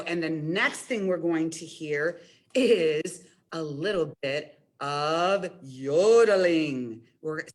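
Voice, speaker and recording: A woman presenting a storytime as a children's librarian, talking directly to young listeners in an encouraging way and reading a picture book aloud. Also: sits low.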